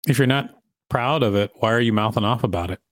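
A man's voice: medium pitch, fast, no echo, studio quality. A man co-hosting a podcast, reading an article aloud with casual banter. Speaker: podcast co-host.

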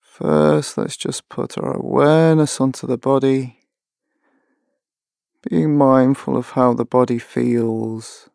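A man leading a guided mindfulness meditation, giving gentle, measured instructions with long pauses. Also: mid-range voice, fairly even intonation, creaky, no echo, studio quality.

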